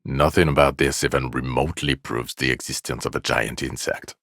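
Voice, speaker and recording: An actor playing a jaded, sarcastic, irritable police officer in a video game, gravelly and deadpan, with weary scorn. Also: male voice, rumbling low, fairly quick, no room sound, studio quality, heavily acted. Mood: cold, dismissive. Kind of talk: video game character dialogue lines.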